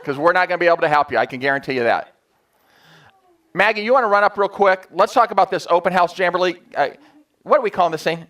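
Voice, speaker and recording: A man with a slightly high voice runs a staff meeting in a room. He talks informally to the group and keeps it colloquial and joking. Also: quick pace, a bit bright. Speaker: sales meeting leader.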